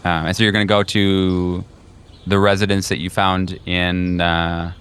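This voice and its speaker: Low-pitched man casually running a tabletop game. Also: little pitch movement, unhurried, a bit bright, clean tone. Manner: casual, profane, conversational. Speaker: game master. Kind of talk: improvised tabletop game narration.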